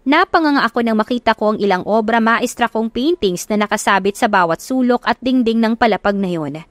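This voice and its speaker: A woman's voice: on the high side, fairly quick, no room sound. A woman with a Filipino accent reading a scripted first-person story as an audiobook narrator.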